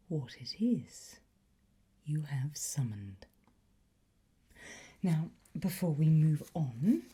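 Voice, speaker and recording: Woman doing an asmr demonology teacher, super breathy whisper, slow, teasing and conspiratorial. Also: low-pitched, exaggerated voice. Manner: slow, deliberate, teasing, softly encouraging. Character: demonology teacher, playfully conspiratorial woman giving a Latin lesson. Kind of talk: ASMR roleplay.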